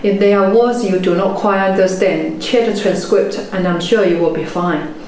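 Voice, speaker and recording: A woman in her forties, a native Chinese speaker with a Chinese accent, giving a measured, earnest motivational talk as a property investment mentor. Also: medium pitch, steady tone, darkish tone. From China. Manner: measured, earnest storytelling delivery. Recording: very echoey room, faint background noise.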